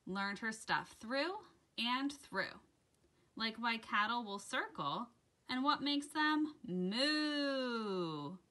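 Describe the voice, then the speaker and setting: A woman reads a children's story aloud with a lively, swooping pitch and an unhurried pace. Her delivery is dramatic and deliberate, shaped for young listeners, with a bright, clear-cut edge to the tone. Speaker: children's story time host and reader. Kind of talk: expressive read-aloud of a rhyming children's picture book.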